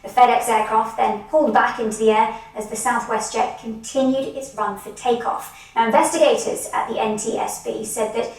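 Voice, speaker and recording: Woman newsreader, kinda high voice, formal polished tv delivery, super echoey sound. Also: fairly even intonation, normal speed, creaky, few pauses, a little mellow. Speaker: news anchor. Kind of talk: scripted news reading and live interviewing. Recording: very echoey, distant from the mic.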